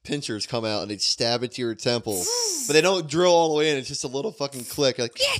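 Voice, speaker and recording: A man's voice: medium pitch, animated, fast talker, fairly bright tone. A man with an American accent and a mid-range voice co-hosts a podcast. He chats casually with friends, joking crudely and swearing freely. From Delaware, United States. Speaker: podcast co-host.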